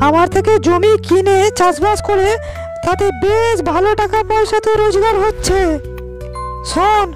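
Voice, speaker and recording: A performer voicing a greedy village land seller in a cartoon, demanding and domineering. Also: very high-pitched. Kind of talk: Bengali cartoon character dialogue.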